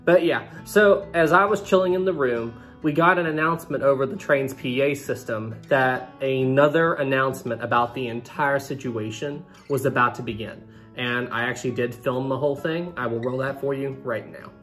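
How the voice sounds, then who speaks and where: A young man in his twenties recounts a trip straight to camera in a lively, conversational way, talking to his viewers directly. He sits in a small room with a desk webcam in front of him. Soft guitar music plays behind him. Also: medium pitch, normal speed. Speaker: travel vlogger. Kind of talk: storytelling to camera.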